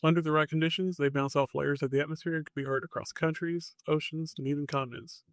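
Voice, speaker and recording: A man hosting a podcast, narrating from a script in short, suspenseful phrases with dry, deadpan humor. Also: a little high, fast talker, no echo. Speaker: podcast host.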